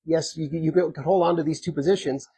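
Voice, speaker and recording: A man running for sheriff, answering audience questions at a community meet and greet in an earnest, conversational way, hoarse and full of filler ums. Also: fairly high pitch, steady tone, a little fast, warm tone. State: hoarse, losing his voice. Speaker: sheriff candidate.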